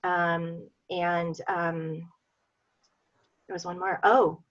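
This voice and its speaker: A woman's voice: lowish voice, level tone, drawn-out words, lo-fi sound. A woman from Seattle with an American accent, talking casually and personally on a group call. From Seattle, USA.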